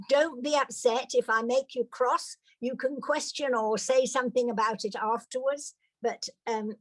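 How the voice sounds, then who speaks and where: A woman's voice: a little high, dry recording, low-quality recording. An older British woman giving a personal talk to an audience, hesitant, with many fillers and restarts. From Britain. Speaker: guest speaker.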